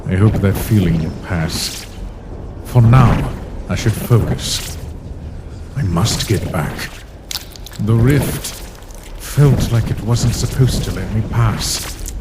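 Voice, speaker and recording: A man voicing a weary, brooding ancient being who narrates a comic dub in a solemn, measured way. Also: on the low side, heavy background noise. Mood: pensive, guarded. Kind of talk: scripted first-person comic dub narration. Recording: voice under loud music.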